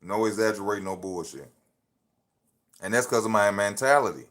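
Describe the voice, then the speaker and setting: A man's voice: on the low side, normal speed, fairly bright tone. Slangy man in his thirties preaching live. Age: 30s. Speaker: livestream host. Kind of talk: casual motivational live talk. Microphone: phone.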